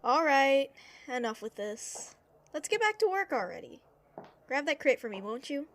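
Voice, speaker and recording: Audio drama role: meddling, teasing female workmate, high voice, very lively intonation. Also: moderate pace, exaggerated voice. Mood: brisk.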